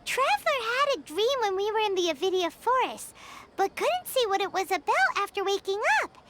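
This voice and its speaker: Squeaky, very high childlike fairy companion. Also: female voice, moderate pace, full character voice. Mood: curious. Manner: speaks of self in third person, excitable. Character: tiny childlike floating fairy companion, chatty, curious. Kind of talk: video game character acting. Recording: loud noise behind the voice.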